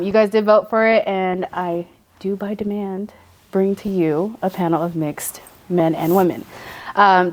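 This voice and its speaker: A woman in her thirties hosting a podcast panel, chatty and teasing. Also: lowish voice. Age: 30s. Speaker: podcast host. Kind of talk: casual panel discussion.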